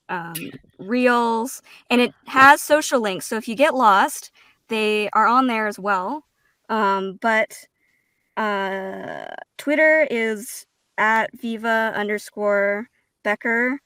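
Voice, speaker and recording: A woman's voice: medium pitch, sharp tone, smooth, no room sound. A woman speaking as a voice actor guest in a casual roundtable chat, hesitant and a little self-deprecating.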